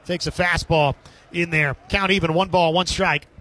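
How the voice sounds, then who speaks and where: A man's voice: fairly high pitch, steady tone, moderate pace, bright, forceful tone. A man calling a baseball game as a radio play-by-play announcer, describing the action in short, clipped phrases. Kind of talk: live sports commentary.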